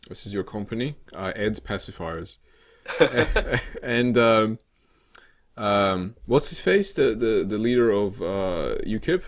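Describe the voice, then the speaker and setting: Low-voiced man, halting casual talk. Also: lively intonation. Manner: hesitant, halting, builds hypothetical scenarios. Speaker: podcast co-host. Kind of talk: casual discussion.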